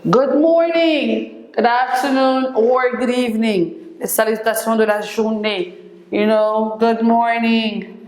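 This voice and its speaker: A woman's voice: a little high, fairly even intonation, takes it slowly, darkish tone, a little hiss or hum. A woman teaching an English lesson, repeating each phrase and translating it into French. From Senegal. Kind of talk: classroom lesson, switching between English and French. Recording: echoey room.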